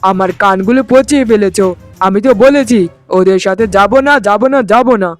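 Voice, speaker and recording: A man's voice: high voice, fairly quick, no echo, soft background music, lightly acted. A man voicing an earnest village fish-farming brother in a cartoon family drama, talking emphatically. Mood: exasperated.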